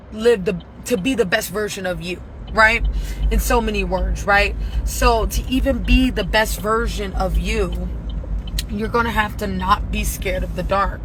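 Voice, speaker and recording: A hoarse woman giving a casual, profane motivational message as a spiritual reader, often asking "right?". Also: mid-range voice, fairly bright tone, poor audio. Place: at work, near traffic. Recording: clear traffic noise behind.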